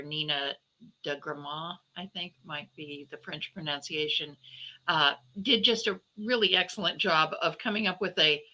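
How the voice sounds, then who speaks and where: A woman's voice: deep voice, bright, forceful tone, poor audio. A woman speaking conversationally as an interview guest, reflective and feeling her way through phrases with self-corrections. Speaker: guest novelist and podcaster.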